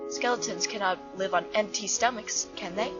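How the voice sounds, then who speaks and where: A woman's voice: medium pitch, moderate pace, close to an everyday voice. A woman voicing a shy, kindhearted young boy with polite, hesitant speech in a fan comic dub. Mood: gentle, amused. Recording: muffled recording under loud music.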